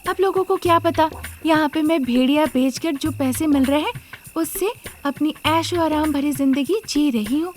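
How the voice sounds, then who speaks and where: High-pitched young wife, acted by a woman, greedy, scheming, animated, moral-story cartoon, music behind. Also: moderate pace, close to an everyday voice. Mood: smug scheming. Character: greedy scheming young daughter-in-law who steals sheep.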